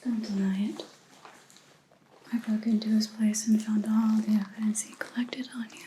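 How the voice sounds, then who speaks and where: A woman playing a calm, menacing vampire hunter in an ASMR roleplay, whispering breathily and deliberately, close to the microphone. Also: mid-range voice, slight background noise, natural voice. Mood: quiet menace. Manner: slow, deliberate, quietly accusing.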